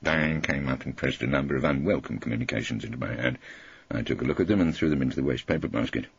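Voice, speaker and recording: Deep, gravelly male audiobook narrator. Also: fast talker, no echo, lo-fi sound. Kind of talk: wry first-person audiobook narration.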